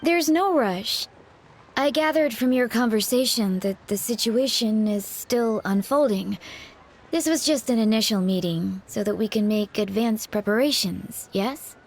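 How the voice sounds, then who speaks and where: An actress playing a composed, discreet funeral parlor attendant in a fantasy video game, with a measured, formal, professional manner. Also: female voice, fairly high pitch. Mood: composed, courteous. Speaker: voice actor. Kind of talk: scripted video game dialogue.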